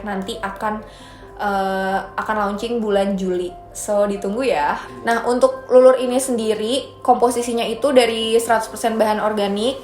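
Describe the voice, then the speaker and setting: A young woman in her twenties, a brand founder chatting casually and upbeat as she answers viewer questions. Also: mid-range voice. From Indonesia. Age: 20s. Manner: chatty, upbeat, mixes in English phrases. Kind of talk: casual chat. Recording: echoey room with soft music.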